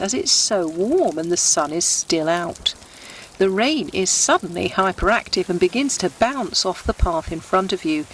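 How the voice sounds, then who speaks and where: A woman with a slightly low voice leads a guided meditation. She reads her scripted scene gently and without hurry, painting it like a storyteller, with faint music playing behind her. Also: rough recording. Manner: gentle, unhurried, descriptive storytelling. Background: background music.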